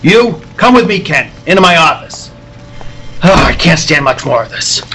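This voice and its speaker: Male actor as gruff radio-serial newspaper boss: high, sharp, blustery, anxious. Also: very animated, normal speed, soft background music, full character voice, rough recording. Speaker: table-read performer. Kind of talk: 1940s radio serial acting, live read. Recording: live table read at a convention.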